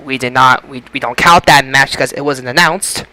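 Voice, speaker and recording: A man's voice: mid-range voice, normal speed, pushed and bright, no room sound, low-quality recording. A man hosting a casual podcast about wrestling results, speaking emphatically and often repeating and stumbling over phrases. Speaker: podcast host.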